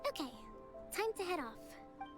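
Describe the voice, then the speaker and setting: A woman playing a gentle, composed young priestess strategist in a video game, speaking in a measured, formal way. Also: very high-pitched, very animated, music in the background, heavily acted. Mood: earnest. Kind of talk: video game dialogue acting.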